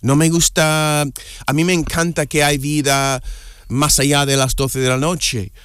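A man from the United States, a native English speaker, chatting in Spanish with an American accent as an interview guest, halting and restarting words. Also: clear, resonant tone, no echo, studio quality. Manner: halting, restarts words, animated pitch swings. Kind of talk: casual interview chat.

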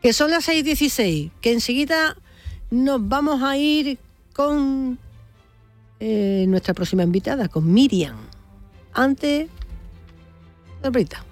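A woman's voice: high voice, lively intonation, takes it slowly, long pauses, no echo, faint music behind. A woman hosting a radio show, chatty and playful with her guests. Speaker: radio host. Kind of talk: lively radio chat.

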